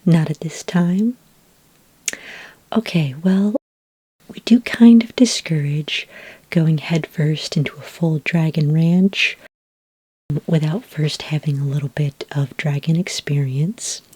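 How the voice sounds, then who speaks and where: Soft, breathy low-voiced woman in ASMR roleplay. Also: takes it slowly, pauses often, no room sound, low-quality recording. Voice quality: soft, breathy, mostly whispery. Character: patient, practical dragon ranching expert advising a beginner. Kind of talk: soft-spoken ASMR roleplay, conversational advice to the listener. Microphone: close microphone, dry sound.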